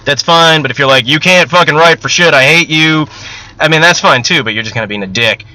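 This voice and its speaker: A man's voice: on the high side, brisk, bright, forceful tone, rough recording. A man talking casually and unscripted to viewers as an amateur writer, rambling, candid and self-deprecating, with casual swearing. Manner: casually profane. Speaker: amateur novelist and video host.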